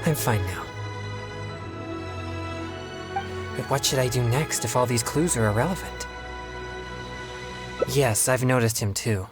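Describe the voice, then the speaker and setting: An actor playing an earnest young exorcist in a video game, speaking politely and formally with hesitant pauses. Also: male voice, medium pitch, over music, close to an everyday voice. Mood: calm, thoughtful. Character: earnest young male exorcist, excitable yet self-controlled.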